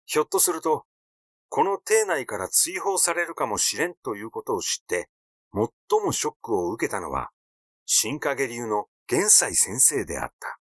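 A man reading literary fiction aloud as a narrator, in a measured storytelling delivery. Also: mid-range voice, sing-song, fairly bright tone, no room sound, studio quality. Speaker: audiobook narrator.

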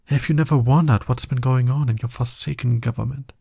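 ASMR roleplay villain, played by a man: male, smug and teasing, breathy low-key delivery, very dry close sound. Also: steady tone, mellow, no room sound. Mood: scornful, intense. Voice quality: husky, breathy. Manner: slow, condescending, teasing. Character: smug, seductive, dominant male villain courting his hero nemesis.

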